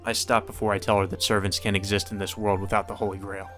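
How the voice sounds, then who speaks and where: A man's voice: lowish voice, little pitch movement, fast talker, fairly bright tone, soft background music. Man narrating a visual novel playthrough. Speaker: let's play commentator. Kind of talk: reading visual novel narration aloud with casual commentary.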